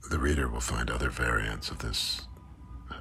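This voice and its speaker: A man's voice: rumbling low, normal speed, pushed and bright. A man giving a scripted reading of a mock-scholarly literary essay. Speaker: reader of a literary text. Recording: faint background noise.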